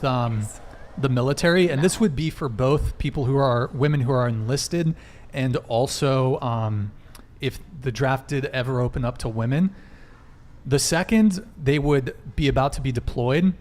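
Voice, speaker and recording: A young man hosting a live-streamed panel chat, wired and rambling, swearing often as he reads out donations. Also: mid-range voice. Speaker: host.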